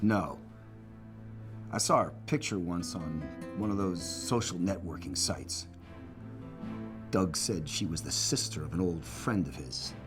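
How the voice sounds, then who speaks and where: An actor playing a jaded middle-aged male agent answering questions in a measured, sardonic way in a film. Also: sits low, over music. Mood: wry, guarded. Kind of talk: film interview scene, naturalistic acting.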